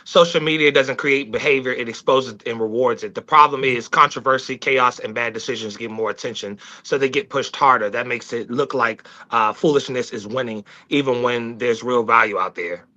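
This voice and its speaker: A man talking casually on a live discussion show, reflective and halting as he works through his thoughts. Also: mid-range voice, sharp tone, highs cut off. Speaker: talk show team member.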